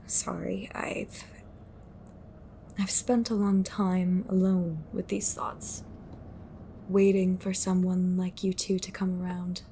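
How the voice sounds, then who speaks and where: Attrice che interpreta una donna misteriosa, tormentata, dal parlare lento. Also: female voice, on the low side, gravelly, darkish tone, slight background noise. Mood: weary, guarded. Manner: cryptic, slow, deliberate, sighing. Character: haunted, secretive woman fighting a sinister occult group. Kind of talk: audio roleplay drama acting.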